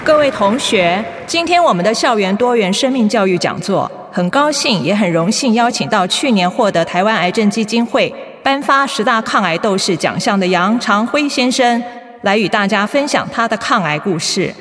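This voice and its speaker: A woman's voice: mid-range voice, lively intonation, normal speed, fairly bright tone, lightly acted. Formal female lecture host in reverberant hall, acted. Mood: formal, welcoming. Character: formal woman hosting a campus lecture. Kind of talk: ceremonial introduction in radio drama. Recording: long hall reverb, crowd behind.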